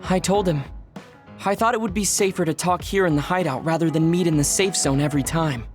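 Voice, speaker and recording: A young, level-headed platoon member from a video game, voiced by a man. He speaks politely and earnestly, setting out his reasoning over clearly audible music. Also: on the high side, fairly quick, lightly acted. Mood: calm, earnest.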